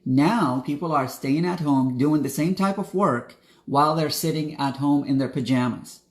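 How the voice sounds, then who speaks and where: A man's voice: fairly high pitch, moderate pace. Earnest young man giving a pep talk. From Iraq. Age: young adult. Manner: earnest, direct, preachy, addresses viewers as guys. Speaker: spiritual coach. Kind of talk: motivational talk to camera.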